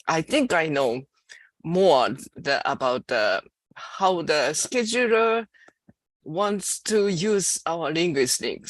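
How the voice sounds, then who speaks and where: A woman giving a training presentation as a system developer manager, talking in a halting, hesitant way with many restarts. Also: lowish voice, dry recording. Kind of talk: slide presentation.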